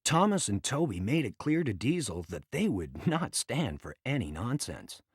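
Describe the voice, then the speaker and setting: A man reading a children's read-along story as a scripted, dramatic and expressive narration. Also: medium pitch, moderate pace, husky, no room sound, clean studio sound.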